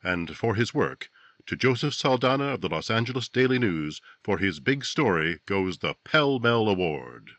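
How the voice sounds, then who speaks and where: Very deep, dramatic radio narrator, played by a man. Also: very animated, a bit bright. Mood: emphatic, selling. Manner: dramatic, deliberate, emphatic. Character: grave, brooding male narrator of a true-crime radio drama.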